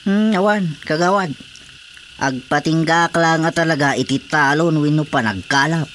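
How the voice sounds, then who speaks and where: Male actor as hard-up village farmer, Ilocano Filipino accent, resigned, slightly high pitch, radio drama, faint background noise. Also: moderate pace, a bit bright, natural voice. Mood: resigned, explaining.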